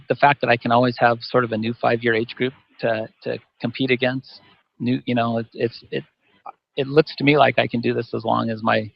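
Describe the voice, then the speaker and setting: A man's voice: medium pitch, creaky, no echo. A man in his fifties answering on a panel, rambling and restarting his sentences often. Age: 50s. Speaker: masters runner guest. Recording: dull dry audio.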